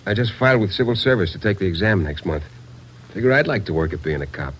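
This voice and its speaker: A man's voice: low-pitched, lively intonation, fast, fairly bright tone. A man acting a friendly, eager American ex-serviceman of thirty in a 1940s radio drama. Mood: friendly, earnest. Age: 30s. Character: friendly thirty-year-old ex-serviceman, eager would-be cop. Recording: noisy 1940s radio recording.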